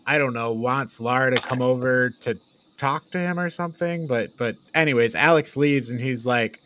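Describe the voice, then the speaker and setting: A man's voice: mid-range voice, normal speed, a bit bright. A man co-hosting a podcast, chatting casually and informally as he retells and reviews a movie in loose paraphrase. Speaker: podcast host.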